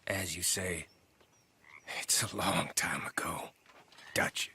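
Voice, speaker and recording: An actor playing a cocksure, insolent American outlaw who mocks and goads in a drawl. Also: male voice, low-pitched, steady tone, slow, creaky, pushed and bright, husky, full character voice. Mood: scheming, persuasive. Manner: mocking, goading, drawling. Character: sneering, cocksure Western outlaw gang schemer, insolent and needling.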